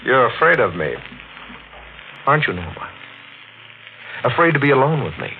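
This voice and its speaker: An old, noisy, narrow-band radio recording carries a sinister male killer. He is deliberate and mock-polite, taunting his prey with swooping, lively intonation in 1940s mystery style. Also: medium pitch, vocal fry. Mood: insistent, menacing.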